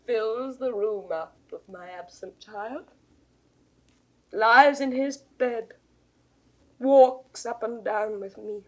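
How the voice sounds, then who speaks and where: An actress playing a grief-stricken widowed mother in a classical Shakespearean audio drama, with theatrical, declamatory delivery that swings between hush and wail. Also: fairly high pitch, rough recording.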